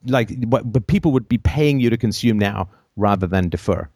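A middle-aged man hosts a call-in show in a low voice, rambling along with jokes and quick asides. He was born in Ireland and grew up in England and Canada. The sound is dry and close, with no room around it. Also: expressive, a little mellow, no echo. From born in Ireland, raised in England and Canada. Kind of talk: casual call-in conversation.

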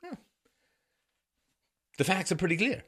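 A man's voice: high-pitched, sing-song, measured pace, fairly bright tone, slightly rough. A man from Ireland and England delivering an opinion monologue in a sarcastic, rhetorical manner with theatrical emphasis. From born in Ireland, grew up in England. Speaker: host of a political commentary show.

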